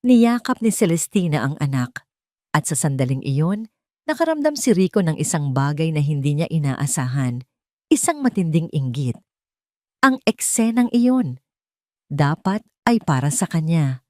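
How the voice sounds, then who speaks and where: A woman's voice: sits low, sing-song, a little slow, mellow, no echo, clean studio sound. A woman narrator reading a scripted romance drama in a dramatic storytelling style.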